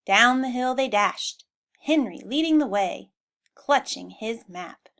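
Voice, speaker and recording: A woman reading a children's storybook aloud in an expressive style. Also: a little high, slow pace. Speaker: storybook reader.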